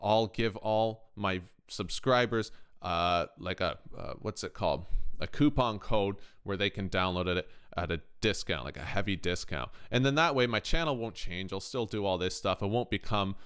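A man's voice: on the low side, moderate pace, fairly bright tone, smooth. A man with a Canadian accent, chatting casually to viewers as a tutorial host in an informal, rambling, slangy way. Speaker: host of music production tutorials. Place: voice-over to a screen recording.

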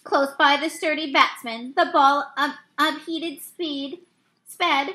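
A woman in her thirties reading a poem aloud from a picture book, a little haltingly, stumbling and correcting herself. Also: high-pitched, fairly even intonation, bright, forceful tone, a little hiss or hum. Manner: halting, with misreadings and self-corrections. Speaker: read-aloud reader. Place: small indoor room.

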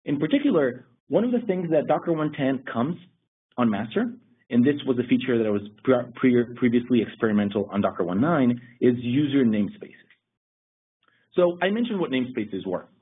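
A man's voice: mid-range voice, expressive, normal speed. A man presenting a technical webinar, explaining things steadily with occasional restarts. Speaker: security lead presenting a webinar. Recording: husky voice over a tinny phone-like line.

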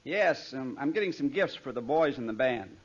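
An earnest, polite bandsman in an old radio comedy, played by a man. His voice is husky, on a dull vintage broadcast recording. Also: mid-range voice, animated, moderate pace, natural voice. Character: polite, earnest male band arranger, dry storyteller. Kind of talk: 1940s radio comedy acting.

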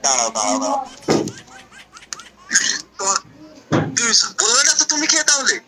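A man's voice: high voice, wildly swooping pitch, normal speed, background noise. A man with a West Bengal accent chatting casually on the phone, questioning and insistent. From West Bengal, India. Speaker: prank call recipient.

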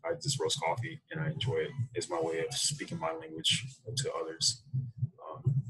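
Very deep, gravelly American man. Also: completely flat delivery, pushed and bright, low-quality recording. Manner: halting, thoughtful, unhurried phrasing. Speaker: interview guest, coffee roaster. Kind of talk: reflective casual interview talk. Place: small room indoors, video call. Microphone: computer mic on a video call.

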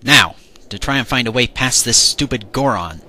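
Man as a bright, whiny young adventurer hero. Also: medium pitch, expressive, normal speed. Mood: smug. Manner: whiny complaining, smug. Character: cocky, whiny young adventurer hero, male, dim-witted. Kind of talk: fan parody cartoon dub.

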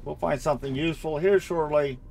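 A man's voice: a little high, brisk, vocal fry, darkish tone, a little hiss or hum. A man giving casual let's-play commentary, thinking aloud and often repeating his phrases as he goes. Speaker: gamer narrating own playthrough.

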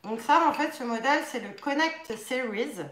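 Young woman chatting thru a demo at home, kinda high voice, roomy echo. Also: moderate pace, a bit bright. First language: French. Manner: chatty, many fillers, demonstrating while talking. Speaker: nunchaku instructor. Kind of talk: casual product demonstration live stream. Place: living room at home, indoors. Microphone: camera across a table. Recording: echoey room.

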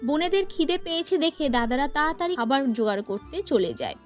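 Lady narrating cartoon story, high voice, bit of music behind. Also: sing-song, brisk.

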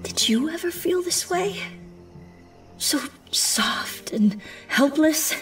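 A woman's voice: sits high, lively intonation, slow pace. A woman playing a grieving, remorseful pony princess of the night in a fan audio drama, speaking formally and often near a whisper. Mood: remorseful sorrow. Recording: distant, music underneath.

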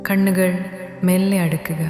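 A woman narrating a guided sleep meditation in a gentle, soothing, instructional manner. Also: lowish voice, level tone, moderate pace. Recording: echoey hall reverb under music. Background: new-age meditation music.

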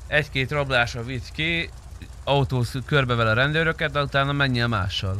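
A man streams a roleplay game in a casual, crude, slangy way. His voice sits at a middle pitch. Faint vehicle and game noise runs behind him. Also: sharp tone. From Transylvania. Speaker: gaming streamer. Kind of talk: casual chat during online roleplay game.

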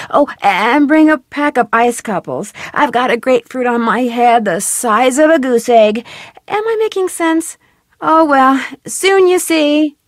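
A woman's voice: sits high, no room sound, clean studio sound. An actress voicing a dazed middle-aged housekeeper in a video game, rambling and mixing up her words. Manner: muddled and rambling, words mixed up. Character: middle-aged female housekeeper, dazed after a head knock. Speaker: game character leaving a voicemail.

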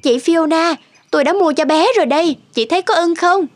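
High-voiced humble young housekeeper. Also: female voice, brisk. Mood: gentle, happy. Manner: polite, deferential. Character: humble, kind young housekeeper and surrogate mother. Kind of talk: Vietnamese cartoon voice acting. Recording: music behind the voice.

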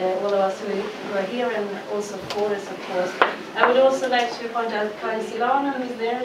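A woman with a Swedish accent, the moderator, closes a panel in a polite, formal way. She is recorded from a distance in an echoey room, under loud background noise. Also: mid-range voice. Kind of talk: closing moderator remarks. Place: seminar room with audience.

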